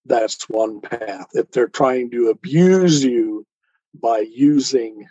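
A man with a mellow, dark voice and a rough husky grain speaks as an interview guest. He explains things in a deliberate, declarative, teacherly way. The recording is dry and somewhat dull. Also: medium pitch, no room sound.

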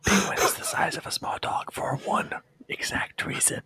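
A man's voice: moderate pace, bright, forceful tone. A man whispering teasing, wry comments in casual chat.

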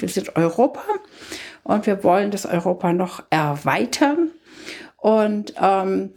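A woman speaking in a reflective, conversational discussion, deliberate and emphatic in the way she makes her points. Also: mid-range voice, creaky, darkish tone, husky. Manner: deliberate, emphatic, uses rhetorical questions.

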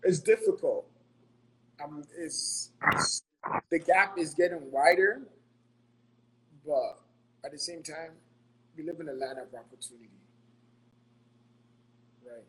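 A man's voice: a little high, takes it slowly, long pauses, fairly bright tone, slight background noise, rough recording. A man from Ghana giving earnest, motivational money advice in a casual podcast, often repeating himself and checking in with "right?".

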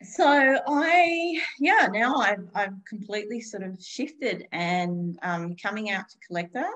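A woman's voice: medium pitch, lively intonation, unhurried, fairly bright tone. A woman in her fifties talking thoughtfully and unhurriedly in a casual conversation, with frequent restarts. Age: 50s. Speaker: guest.

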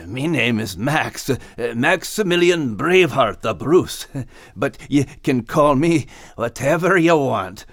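A man's voice: medium pitch, animated, slow, a bit bright, no room sound, clean studio sound, exaggerated voice. A man playing a jaunty, bashful little Scottish terrier with a Scottish accent in a children's story podcast. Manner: jaunty, teasing.

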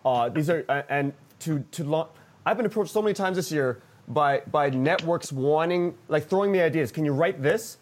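A man speaking as a podcast creator in an informal roundtable, halting and often restarting his sentences. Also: fairly high pitch. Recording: noisy voice track with clicks.

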